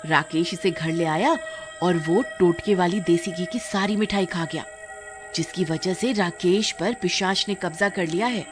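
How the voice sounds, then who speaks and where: A woman's voice: on the low side, measured pace, music in the background, natural voice. A woman voicing a frightened but resourceful village wife in a horror-comedy story, urgent and explanatory. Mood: earnest.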